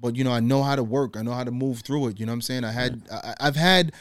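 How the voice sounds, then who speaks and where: A man talks in a loose, slangy way, full of streetwise asides. His voice sits at an ordinary middle pitch. It is recorded close and dry, with no room sound. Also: quick pace, no room sound. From Guyana. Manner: loose, slangy, animated storytelling. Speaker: podcast guest. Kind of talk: casual conversation.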